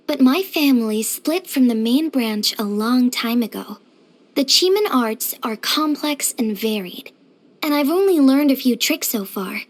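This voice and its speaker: A woman playing a cheerful, easygoing young craftswoman in a video game, with a gentle, chirpy, earnest manner. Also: fairly high pitch. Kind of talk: video game character voice acting.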